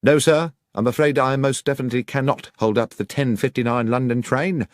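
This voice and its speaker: A man's voice: medium pitch, fairly even intonation, brisk, no room sound, clean studio sound, pitched above the performer's own voice, natural voice. A man playing a cautious, deferential railway station master who is careful of his good name, in an audiobook. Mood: reluctant. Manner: deferential, hesitant.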